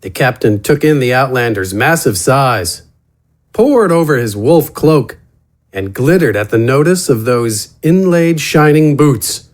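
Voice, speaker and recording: A man narrating a scripted fantasy story in a dramatic, deliberate storytelling manner. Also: medium pitch, expressive.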